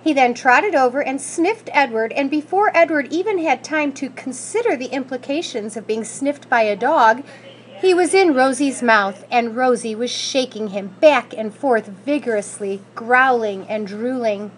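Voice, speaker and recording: A woman reading a children's story aloud in an expressive, scripted narrating style. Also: sits high, moderate pace, slight background noise, low-quality recording. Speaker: school staff member reading aloud.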